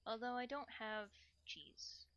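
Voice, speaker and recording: Bright-voiced woman rambling playfully on a livestream. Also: fairly high pitch, takes it slowly, lo-fi sound, a little hiss or hum. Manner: playful, rambling, repeats short phrases, cutesy broken grammar. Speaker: livestreamer chatting with viewers. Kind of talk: casual livestream chat while cooking.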